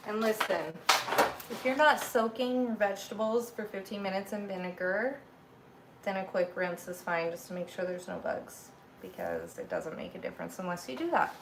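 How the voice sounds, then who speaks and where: A woman hosting a cooking livestream from her home kitchen, with a raspy voice from feeling a little sick, chatting loosely as she explains each step. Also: medium pitch, very animated, moderate pace. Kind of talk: casual cooking livestream chat. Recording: noisy home kitchen recording.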